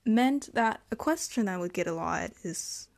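Slow, thoughtful young woman vlogger. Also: medium pitch, animated, a little mellow. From Germany. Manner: thoughtful, hesitant, halting phrasing. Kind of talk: reflective personal monologue.

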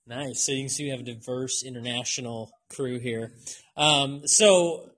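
A man's voice: mid-range voice, normal speed, a bit bright. A man hosting a casual podcast from his college classroom, joking and laughing often as he questions his students. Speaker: podcast host and college instructor.

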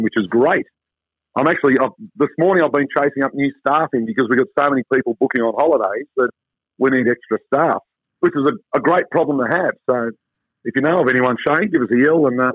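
A hoarse Australian man who runs a travel company, chatting in an interview in an upbeat, rambling way with lots of fillers. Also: medium pitch, no echo. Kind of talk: casual interview chat. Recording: tinny phone line.

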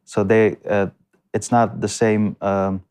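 A man with a fairly low voice talks through his answers at an unhurried pace. He stops and restarts often, leaning on fillers as he works out what to say. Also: little pitch movement, darkish tone, clean tone. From came to the Netherlands as a refugee. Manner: halting, many restarts and fillers. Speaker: guest. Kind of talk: conversational interview answers. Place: lives in north Amsterdam.

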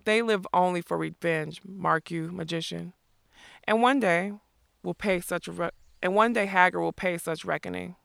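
A reader putting on a blustering, creaky outlaw captain. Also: mid-range voice, normal speed, a bit bright, no echo, clean studio sound. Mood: indignant, grand. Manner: grandiose, theatrical declaiming.

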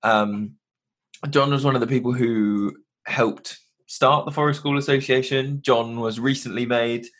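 A male podcast host with a stuffed-up nose from hay fever, and his voice sits at an ordinary middle pitch. He chats in a rambling, self-correcting way over a video call. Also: a bit bright. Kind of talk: casual podcast intro.

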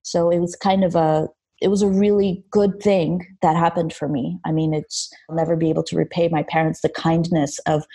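A woman's voice: low-pitched, level tone, moderate pace, warm tone, husky. A young adult woman designer who grew up between Armenia and Los Angeles, talking reflectively and with enthusiasm in an interview, with fillers and restarts. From Armenia, raised in Los Angeles. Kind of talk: casual interview.

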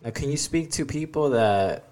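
A man co-hosting a podcast, asking rambling questions in a relaxed, casual way. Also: medium pitch, moderate pace. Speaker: podcast co-host.

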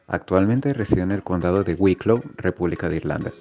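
A Venezuelan man in his twenties with a very low, deep voice delivers an earnest testimonial at an even, measured pace. Clear music plays behind him. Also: lo-fi sound. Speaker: pastor sending a recorded message. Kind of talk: prepared testimonial message.

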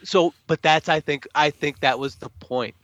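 A man's voice: on the high side, moderate pace, a bit bright, dry recording. A man co-hosting a podcast, talking emphatically about a film with heavy swearing. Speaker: podcast co-host. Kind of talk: casual movie review chat.

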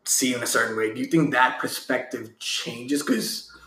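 A male interviewer with a bright, forward voice, winding through long, loosely built questions in a relaxed chat. His voice bounces around an echoey room. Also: mid-range voice, normal speed. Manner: rambling, loosely worded questions. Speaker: interviewer, host. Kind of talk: casual interview conversation.

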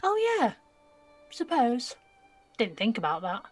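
An actress playing a blunt, cheeky, streetwise nineteen-year-old woman who talks in clipped slang with a slightly husky voice in an audio drama. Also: fairly high pitch, wildly swooping pitch, slow, faint music behind, heavily acted. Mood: casual, joking. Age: young adult. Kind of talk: naturalistic audio drama dialogue.

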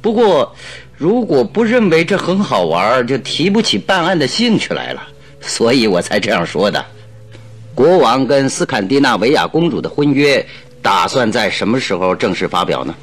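An actor playing a shrewd, playful, self-assured detective in a radio drama, musing and amused in manner. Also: male voice, mid-range voice, very animated, moderate pace, breathy edge, faint music behind. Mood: amused, composed.

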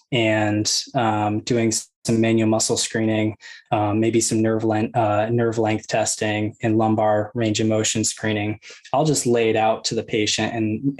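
A man in his thirties, a physical therapist, calmly explaining his clinical approach with many ums and you-knows. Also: on the low side. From United States. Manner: measured, filler-heavy, explains step by step.

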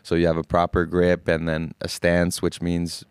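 A young man from Finland with an American accent, calmly explaining things as an interview guest and often repeating words. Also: low voice, normal speed, clean tone, dry recording. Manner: calm, even explanations with frequent word repeats. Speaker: guest, golf caddie. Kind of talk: casual interview answers.

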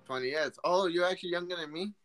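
A man in his twenties co-hosting a casual podcast chat, rambling and restarting his sentences, getting excited. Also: high-pitched, quick pace. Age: 20s. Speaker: podcast co-host.

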